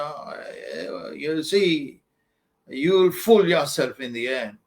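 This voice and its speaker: A man's voice: fairly high pitch, fairly bright tone. A man acting as a study-abroad advisor, answering student questions in an informal, emphatic way, repeating points and calling listeners "man". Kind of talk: informal Q&A advice talk.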